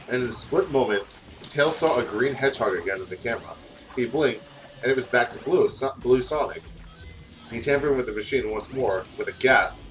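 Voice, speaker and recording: A man talks casually with animated, swinging intonation over game music. He is self-deprecating and sweary, moving between loose chat and reading aloud like a friend on a playthrough. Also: medium pitch. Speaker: guest reading fan fiction aloud.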